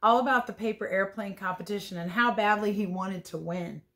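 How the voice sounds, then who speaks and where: A woman with a medium-pitched voice reads a children's storybook aloud like a teacher. Her delivery is expressive and playful, slipping easily between the written text and casual, chatty remarks on the pictures. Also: a little fast. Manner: playful, chatty, commenting on the pictures as she goes.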